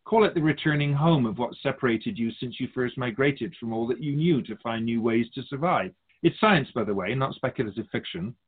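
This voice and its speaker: A wise otherworldly keeper of souls from a radio drama acted by a man. He muses in a formal, philosophical way with gentle wryness, his voice rising and falling freely and slightly husky. It comes through a thin, phone-like band. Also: mid-range voice, animated, fairly quick, hardly any pauses. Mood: musing, hopeful. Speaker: spirit guide being interviewed. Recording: muffled phone-like narrow band.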